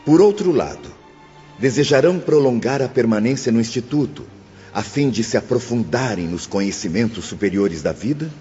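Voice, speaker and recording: Casting: male actor as spirit instructor, dark mellow mid voice, earnest and formal, in a reverberant hall. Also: mid-range voice, lively intonation, normal speed, lightly acted. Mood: admonishing. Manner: formal, admonishing. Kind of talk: dramatised audiobook. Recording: muffled hall reverb with faint music.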